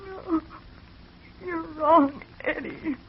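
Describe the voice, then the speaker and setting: A woman's voice: high-pitched, very slow. An actress playing a frightened young woman caught up in a murder, pleading in old radio-drama style. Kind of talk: 1940s radio acting. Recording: dull old radio broadcast with faint hiss.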